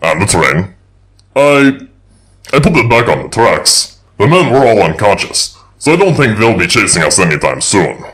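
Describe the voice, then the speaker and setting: A man playing a good-natured, slightly slow-witted handyman adventurer in an audio drama, with a deliberate delivery. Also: lowish voice, very animated, a bit bright. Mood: friendly. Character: Gamma, amiable, slow-witted, helpful male handyman adventurer.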